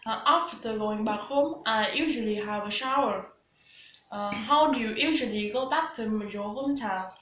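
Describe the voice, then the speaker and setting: A woman's voice: a little high, moderate pace, fairly bright tone, roomy sound, slight background noise, rough recording. A woman in her twenties with a Vietnamese accent, practising scripted English dialogue as a student, hesitant with many fillers and restarts. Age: 20s.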